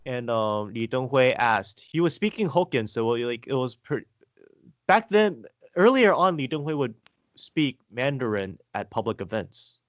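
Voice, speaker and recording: A man leads a podcast conversation, laying out history step by step in a steady, explaining voice. He speaks close to the mic in a dry room with no echo, and his pitch sits in an ordinary middle range. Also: a little slow. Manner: explanatory, steady, asks leading questions. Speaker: podcast host.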